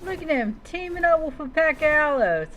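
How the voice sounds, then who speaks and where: A woman's voice: high voice, big pitch swings, moderate pace, a bit bright, a little hiss or hum, rough recording. A woman doing live in-character gameplay commentary, talking playfully to creatures with mock-heroic taunts. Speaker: game streamer playing a roleplay survival game.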